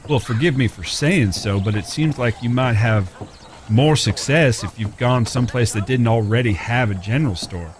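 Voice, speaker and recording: A man acting in an audio drama as a courteous, smitten Old West drifter with a rough past, speaking folksy, polite lines in an American Western accent. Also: mid-range voice, moderate pace, lightly acted. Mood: advising. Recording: clear background ambience with animal sounds.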